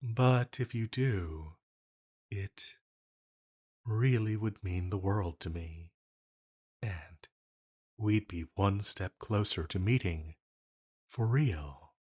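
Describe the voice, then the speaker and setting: An ancient villain from an audio drama , acted by a man, speaking in a low register. He is elegant and menacing with a fond streak, and takes his time over every formal phrase, leaving deliberate pauses. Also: a little mellow, husky. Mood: fond, coaxing. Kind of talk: scripted intimate audio roleplay.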